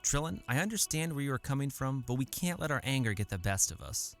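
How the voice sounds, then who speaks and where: A concerned friend who tries to soothe, played by a man. His mid-pitched voice is animated, in a visual novel with clear music underneath. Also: quick pace. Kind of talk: amateur visual novel voice acting.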